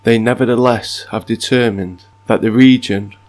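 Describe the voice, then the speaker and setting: A man with a croaky, sore-throated voice, reading passages aloud and then musing on them in casual asides. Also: medium pitch, steady tone, moderate pace. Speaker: video narrator. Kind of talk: reading and commenting on mythology.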